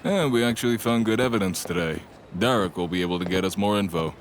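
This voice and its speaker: A man's voice: lowish voice, fast, a little hiss or hum, close to an everyday voice. A man playing a cocky, playful young vampire alchemist in an audio drama, bossy and streetwise in manner. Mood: casual, upbeat.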